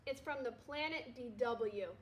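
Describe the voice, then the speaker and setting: A good-natured young storybook boy, as a woman reads him. He speaks high, earnestly and plainly. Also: a little slow, a little hiss or hum, lightly acted. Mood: scheming.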